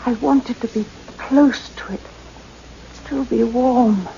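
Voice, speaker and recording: A high-voiced, frightened, dazed woman. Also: vocal fry, warm tone. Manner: halting, dreamy, faltering. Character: frightened, dazed woman recalling an eerie encounter. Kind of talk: radio play acting. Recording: muffled, noisy radio play recording.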